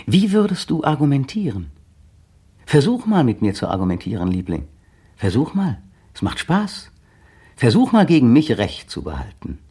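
A man's voice: medium pitch, warm tone, husky, heavily acted. An actor playing a bitter, sardonic man who mockingly taunts his young partner in a 1960s radio drama. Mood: taunting. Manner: mocking, sardonic and wildly shifting. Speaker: male lead in a radio play.

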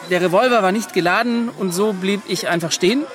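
An actor playing an earnest, excitable young adventurer in a German radio play, speaking with impassioned emphasis. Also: male voice, high voice, fairly quick. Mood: agitated. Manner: impassioned, emphatic, breaks into short repeated questions. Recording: music under the voice.

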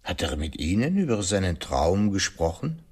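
An actor playing a thoughtful, methodical detective who questions witnesses with measured courtesy in a radio play. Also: male voice, low-pitched, very animated, a little mellow, slightly rough, full character voice. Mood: probing, courteous. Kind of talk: German radio play acting.

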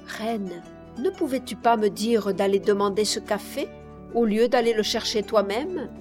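A woman voicing a courteous elderly gentleman in an audiobook, measured and moralizing. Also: medium pitch, faint music behind, natural voice. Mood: mild reproach. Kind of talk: audiobook character voice.

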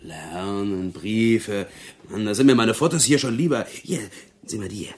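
An actor playing a crude, bored, mocking cellmate in a radio drama, with coarse slangy talk. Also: male voice, lowish voice, normal speed, lightly acted. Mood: mocking, needling.

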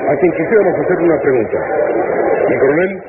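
A man's voice: on the high side, lively intonation, brisk, natural voice. An actor playing an eager male radio announcer giving polite, breathless commentary in a 1960s radio drama. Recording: tinny 1960s radio recording with loud hiss.

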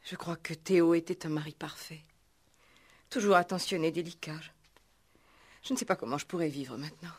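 Soft, dignified grieving widow. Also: female voice, low-pitched, normal speed, pauses often, breathy edge. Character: dignified, grieving, well-bred widow, reserved and polite. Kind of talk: 1960s French-language radio play acting.

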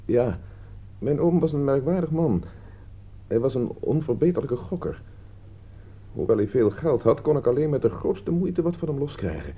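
An actor playing a defensive thirty-year-old idler under questioning, speaking evasively in a radio play. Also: male voice, mid-range voice, very animated, normal speed, husky. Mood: explaining, wry. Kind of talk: Dutch radio drama dialogue. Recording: dull, band-limited old radio recording.

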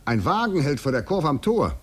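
A man's voice: a little high, very animated, moderate pace, husky. An actor in a 1950s literary radio drama playing a taunting, eloquent male fugitive in a poetic, ironic manner. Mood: brooding, resigned.

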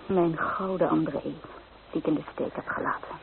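A woman's voice: low voice, steady tone, moderate pace, vocal fry, narrow, tinny audio, a little hiss or hum. Homesick young woman, thin old recording. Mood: anxious, regretful. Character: homesick, bitter young provincial woman in Moscow. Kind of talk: Dutch radio play acting.

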